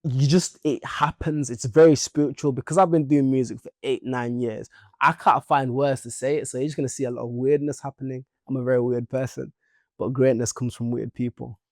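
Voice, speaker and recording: Gravelly-voiced young Leeds musician. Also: male voice, mid-range voice, a little fast, dry recording, studio quality. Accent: Leeds English. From Leeds, England. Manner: animated, playful, chatty. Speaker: musician guest. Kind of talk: casual interview chat.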